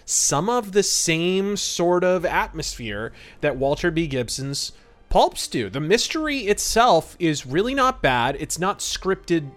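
A man's voice: fairly high pitch, big pitch swings, takes it slowly, fairly bright tone, soft background music. A man hosting a podcast and giving conversational, detailed film commentary with a wry manner. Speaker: podcast host.

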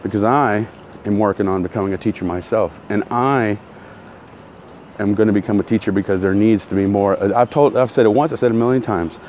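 Deep-voiced man ranting bluntly. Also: a little fast, a little mellow, a little hiss or hum. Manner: blunt, heated, repetitive. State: recovering from a sore throat. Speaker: vlogger. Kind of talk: casual ranting monologue.

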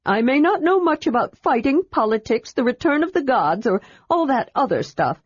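A woman voicing a cheerful, chatty young male kender, eager and quick to take offense, in a storybook reading. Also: high voice, breathy edge, dry recording, voice pushed up, heavily acted. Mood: indignant. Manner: eager, indignant, excitable. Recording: dull lo-fi recording.

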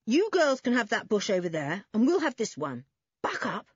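A woman plays a chummy schoolboy from a children's adventure book. He is eager and brisk, his pitch bouncing about, in a dry, close recording. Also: big pitch swings, breathy edge, no echo, highs cut off.

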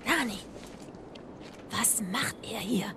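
A woman's voice: slow, creaky. An actress playing a loyal, watchful scout to an Indian queen in an audio drama, discreet and serious. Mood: wary, reporting. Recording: noisy rumbling background.

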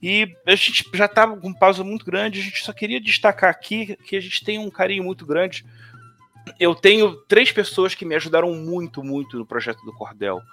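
A man's voice: sits high, normal speed, pushed and bright, faint music behind. A man with a Northeastern Brazilian accent, a tabletop game designer chatting casually and rambling with many restarts. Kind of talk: casual conversation on a live stream.

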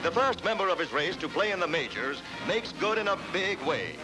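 American male 1940s newsreel announcer, high and animated, jaunty theatrical delivery, vintage soundtrack. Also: a little fast, low-quality recording. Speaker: newsreel narrator. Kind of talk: 1940s newsreel commentary, scripted narration. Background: loud orchestral newsreel music.

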